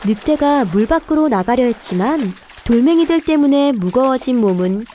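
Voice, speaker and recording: A woman's voice: high voice, expressive, moderate pace, mellow, slight background noise. A woman narrating a scripted children's fairy tale in a careful storytelling manner.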